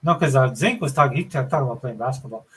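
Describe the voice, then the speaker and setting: A man's voice: medium pitch, racing, fairly bright tone, husky. A man with a somewhat whiny voice chatting casually on a podcast, loose and informal, saying like and dude often. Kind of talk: casual chat.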